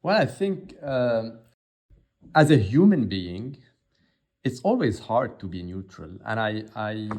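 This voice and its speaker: A man from Lebanon, the head of an aid agency, answering interview questions in a halting way with many filler sounds. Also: lowish voice, big pitch swings, darkish tone.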